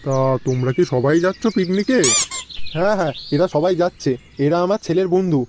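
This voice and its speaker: A man's voice: high-pitched, brisk, background noise, natural voice. A man playing a fussy, scolding middle-aged father in a comic cartoon, bossy and slangy. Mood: bustling.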